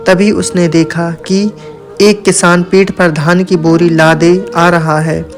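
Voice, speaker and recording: A man with a high voice narrates a moral story from a script. His delivery stays level, with little rise and fall in pitch. Music plays along with the narration. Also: level tone, takes it slowly.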